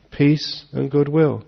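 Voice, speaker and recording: A man giving a relaxed Dharma talk to an audience, joking and chuckling as he answers questions. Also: fairly high pitch, vocal fry, very noisy. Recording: dull lo-fi talk recording.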